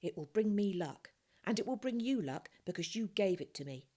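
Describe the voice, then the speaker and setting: A woman's voice: medium pitch, animated, normal speed, dark tone, pitched above the performer's own voice, lightly acted. A woman voicing a small, cheeky, secretive orphan boy in a storybook reading, speaking in short matter-of-fact phrases. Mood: guarded, earnest.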